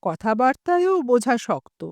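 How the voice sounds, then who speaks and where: A woman's voice: on the high side, big pitch swings, moderate pace, darkish tone, dry recording. A woman telling a humorous short story aloud, giving the quoted lines a little expression.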